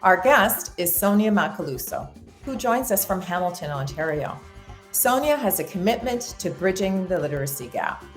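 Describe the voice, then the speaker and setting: A woman's voice: fairly low pitch, lively intonation, moderate pace, roomy sound, faint music behind. A woman hosting an interview show, reading introductions and encouraging her guest. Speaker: show host. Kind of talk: hosted interview.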